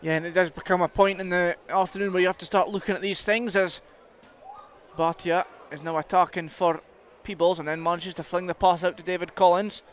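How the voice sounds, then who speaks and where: Male rugby play-by-play caller, Scottish, high voice, faint outdoor noise behind. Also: little pitch movement, brisk. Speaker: rugby commentator. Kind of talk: live play-by-play sports commentary. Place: commentary box at a rugby ground. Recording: outdoor ground with clear background noise.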